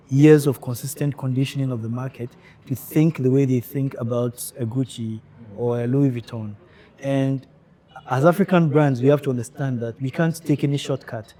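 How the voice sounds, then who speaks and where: A man hosting an interview podcast, talking in a measured, reflective way with frequent pauses. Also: mid-range voice, mellow. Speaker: podcast host. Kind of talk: conversational interview.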